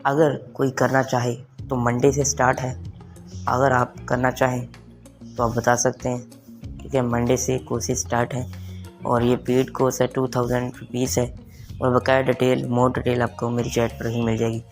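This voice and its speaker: A young man presents a casual tech tutorial. His voice sits at a fairly high pitch for a man, and he slips English words into his speech. Music plays behind him. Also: monotone, moderate pace. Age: young adult. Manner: mixes English words and phrases into talk. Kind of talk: casual spoken tutorial for online viewers. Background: background music.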